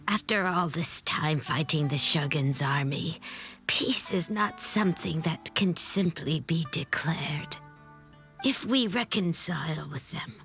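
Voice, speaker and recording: A grave, slow-spoken elder. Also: female voice, fairly low pitch, a bit bright, full character voice.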